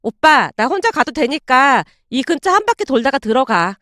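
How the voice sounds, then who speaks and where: A bashful young woman with a high voice, acted by a female narrator. She is courteous and considerate, speaking in a storytelling drama. The sound is dry. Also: sing-song, moderate pace, bright, forceful tone, no echo, exaggerated voice. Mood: shy, polite.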